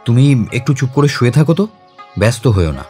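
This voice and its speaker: An actor playing a mild, educated man near forty who narrates his memories in a dramatised literary audio story, in a reflective, expressive way. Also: medium pitch, brisk, soft background music, natural voice. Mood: gently concerned.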